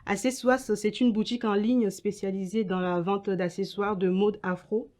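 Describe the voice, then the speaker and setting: A young woman from Ghana and Togo giving halting interview answers as an entrepreneur, often repeating her words. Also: medium pitch, fairly even intonation, loud music behind the voice. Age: young adult. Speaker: entrepreneur and student being interviewed. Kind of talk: interview answers about own business.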